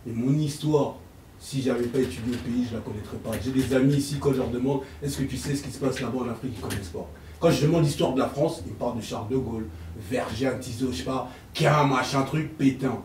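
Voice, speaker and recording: A man with a medium-pitched voice argues his case in a casual group debate. He explains step by step, recorded a little far from the mic in an echoey room. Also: fast, darkish tone. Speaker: debate panelist. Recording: roomy, distant mic with background noise.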